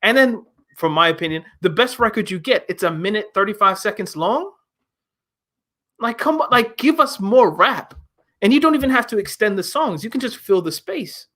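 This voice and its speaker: Animated young man reviewing an album. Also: high-pitched, moderate pace. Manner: animated, emphatic, rhetorical questions. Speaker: music review host. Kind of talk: casual album review chat.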